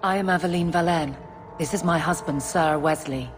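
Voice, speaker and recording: A woman's voice: deep voice, moderate pace, natural voice. An actress playing a stern, loyal soldier woman in a fantasy video game, firm and commanding. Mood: resolute, businesslike. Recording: music behind the voice.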